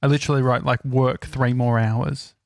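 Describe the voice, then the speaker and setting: A man's voice: medium pitch, fairly even intonation, normal speed, gravelly, a little mellow, no echo. An Australian man co-hosting a podcast, chatting casually with self-deprecating jokes. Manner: self-deprecating, joking, swears. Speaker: podcast co-host. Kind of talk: casual chat.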